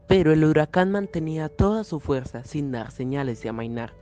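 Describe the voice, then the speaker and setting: A man's voice: fairly high pitch, expressive, a little fast, warm tone, highs cut off. A young man reading a scripted, dramatic story as narrator. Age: young adult. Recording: music playing clearly behind the voice.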